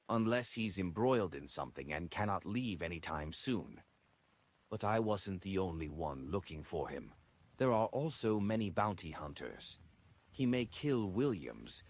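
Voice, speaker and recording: Man voicing a stern woman investigator, low-pitched. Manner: hushed, probing, suspicious. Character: stern, awe-inspiring woman questioning an informant. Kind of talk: audiobook character dialogue.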